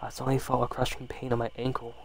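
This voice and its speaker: A man softly reading a horror story in ASMR style, unhurried, with the odd stumble over words. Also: medium pitch, completely flat delivery, vocal fry, slight background noise.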